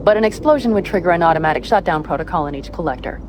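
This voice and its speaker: An actress playing a tough young woman mercenary in a video game, brisk, practical and wry. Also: fairly low pitch, expressive, natural voice. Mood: focused, wry. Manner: brisk, practical, wry, casually foul-mouthed. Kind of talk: video game cutscene dialogue, naturalistic. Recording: clear vehicle and ambience noise behind.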